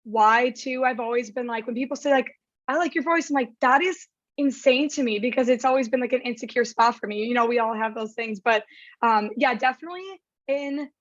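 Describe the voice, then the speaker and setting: A young woman with a raspy voice, chatting casually as a podcast guest and saying "like" a lot. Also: on the high side, fairly quick, no room sound, highs cut off. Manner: chatty, heavy use of "like". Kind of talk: casual chat.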